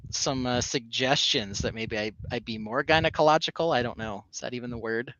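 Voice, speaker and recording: Bright-voiced man, casual stream host. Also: medium pitch, fairly quick, muffled recording. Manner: rambling with many fillers and restarts. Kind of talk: casual live-stream chat.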